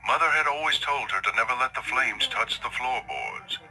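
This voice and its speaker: A man's voice: mid-range voice, big pitch swings, moderate pace, pushed and bright, faint music behind, low-quality recording. A man narrating a scripted horror story in a dramatic storytelling style.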